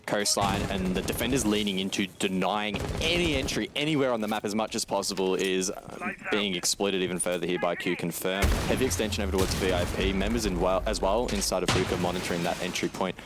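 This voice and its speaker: A man's voice: low voice, fast, few pauses. A man delivering live esports commentary, analytical and carefully worded. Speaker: esports match caster. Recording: game gunfire noise behind voice. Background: video game gunfire and ambience.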